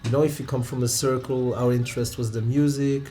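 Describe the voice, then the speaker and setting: A man in his thirties with a Romanian accent, a non-native English speaker, telling stories from his own life in an interview, halting and restarting often and laughing along. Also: mid-range voice, fairly even intonation, dark tone. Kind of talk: relaxed personal storytelling.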